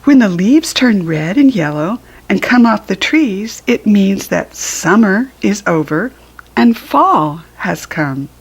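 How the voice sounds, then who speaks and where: A woman's voice: mid-range voice, expressive, takes it slowly, slightly rough, full character voice. Woman as a friendly, reassuring storybook squirrel. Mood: gently chiding, reassuring. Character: friendly, reassuring red squirrel, gently chiding.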